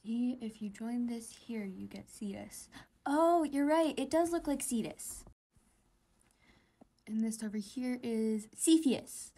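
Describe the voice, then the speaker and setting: Sweet, awkward teenage anime boys, voiced by a woman in a fan comic dub. The voice is high and casual, halting at times and teasing at others. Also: mellow, slightly rough, exaggerated voice. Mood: gentle.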